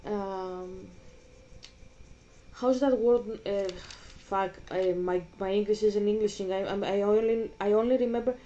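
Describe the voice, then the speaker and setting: A woman's voice: mid-range voice, a little slow, lo-fi sound. A woman with a Greek accent, a native Greek speaker, giving blunt, swear-filled commentary while playing a video game. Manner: blunt, swears a lot, animated rants. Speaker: gaming vlogger. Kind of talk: casual gameplay commentary.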